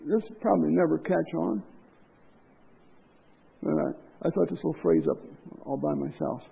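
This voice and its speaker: A man preaching a sermon, impassioned, rising to loud emphasis and dropping low and quiet. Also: normal speed, creaky, husky. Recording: tinny phone-like lo-fi sound.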